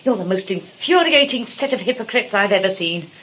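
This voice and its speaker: Mid-pitched, cutting woman guest played by an actress, fed up, stage comedy, slight noise. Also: lively intonation, a little fast, lightly acted. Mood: furious, scathing. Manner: scathing, forceful, articulate. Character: exasperated, blunt woman house guest. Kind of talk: theatrical stage comedy acting.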